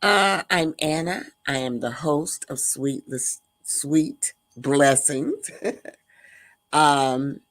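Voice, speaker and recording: A woman's voice: low-pitched, no room sound. An older woman hosting a livestream podcast, talking casually with a nasal voice and many fillers. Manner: slow, halting, many fillers. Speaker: host.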